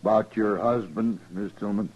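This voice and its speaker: A folksy cattle rancher of the Old West, pitched low. He is halting, sheepish and callously matter-of-fact, in 1950s radio western style. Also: fairly even intonation, a little mellow, breathy edge, poor audio. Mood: sheepish, explaining.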